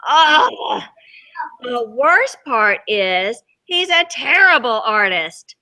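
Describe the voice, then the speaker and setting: A woman's voice: on the high side, very animated, extremely slow, sharp tone, full character voice, poor audio. A woman voicing a fed-up toddler's crayon from a storybook, complaining and pleading to be rescued. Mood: exasperated, pleading. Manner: whiny, exasperated complaining.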